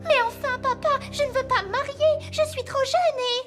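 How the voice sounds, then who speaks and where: A woman's voice: very high-pitched, steady tone, normal speed, music in the background. A woman voicing an earnest, strong-willed young princess in a French cartoon dub. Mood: distressed.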